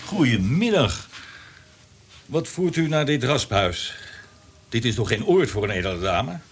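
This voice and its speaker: A man's voice: mid-range voice, big pitch swings, a little slow, slight background noise. A man in a radio drama playing a stern, formal and wary prison warden who can be bribed. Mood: polite, wary.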